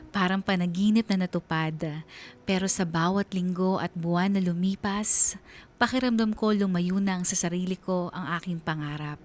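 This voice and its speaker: A woman reading a scripted first-person letter for a radio drama in a sorrowful, confiding manner. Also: on the low side.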